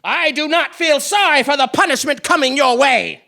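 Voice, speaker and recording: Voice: high-pitched, normal speed, creaky, pushed and bright, exaggerated voice. A performer playing a pompous, indignant royal tax collector in a comic stage audio play, proclaiming with theatrical haughtiness. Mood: outraged, haughty. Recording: live theatre stage recording.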